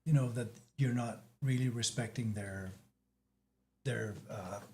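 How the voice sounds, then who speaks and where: A man from Denmark, speaking English as a second language, talks through his thoughts in slow pieces, starting over often as he searches for the right word. His pitch sits in an ordinary middle range. A faint ambient hum runs under the recording. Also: darkish tone. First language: Danish. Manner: halting, frequent restarts, searching for words. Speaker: guest. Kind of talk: casual chat.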